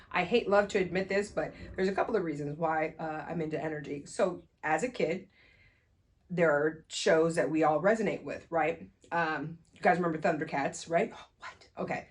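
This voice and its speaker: A woman's voice: low voice, normal speed. A woman in her forties with a crackly voice, hosting her own show in a casual solo chat. She laughs often, trails off and restarts mid-sentence. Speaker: podcast and radio host.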